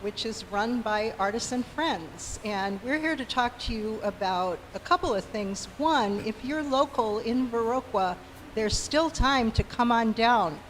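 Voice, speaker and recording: A woman's voice: a little high, a little slow. A woman giving an informal live announcement to an audience as a member of a community group. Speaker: arts group member addressing livestream audience. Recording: noisy live venue audio.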